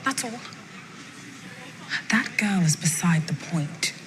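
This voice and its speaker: A cold, haughty heiress speaks with scorn in a screen drama. Her voice is fairly low and deliberately slow. Noise is clear behind her. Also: lively intonation. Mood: scornful.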